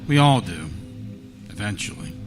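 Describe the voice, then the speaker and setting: An actor playing a knowing, cryptic and quietly menacing man in a live stage audio drama, deliberate and taunting. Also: low-pitched, big pitch swings, vocal fry, over music, full character voice. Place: theater stage.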